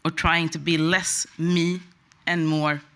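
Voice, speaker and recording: Bright-voiced Swedish woman giving a talk. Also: low-pitched, flat delivery, slow. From Sweden. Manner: hesitant, restarts and apologizes. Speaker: conference speaker. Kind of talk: personal talk at a conference.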